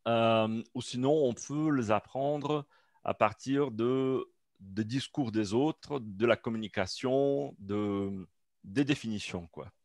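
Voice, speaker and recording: A man giving an online seminar in French, which is not his first language. He talks slowly and hesitantly, stopping often to restart his phrases. The sound is dry and close, as if picked up by a computer mic on a video call. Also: medium pitch, darkish tone, no echo. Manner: hesitant, many fillers and restarts. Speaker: seminar speaker. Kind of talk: academic talk over video call.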